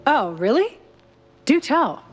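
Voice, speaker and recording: An actress playing a sharp, skeptical newspaper reporter in a noir cartoon game, probing with dry, unflappable wit. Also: female voice, high voice, very animated, takes it slowly, soft background music, exaggerated voice. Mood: dry, curious.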